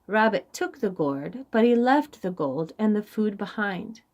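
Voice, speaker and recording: A woman narrates a storybook for young listeners. She takes her time, pausing deliberately, and her pitch moves in an animated, dramatic way. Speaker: children's story reader. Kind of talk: expressive storybook read-aloud.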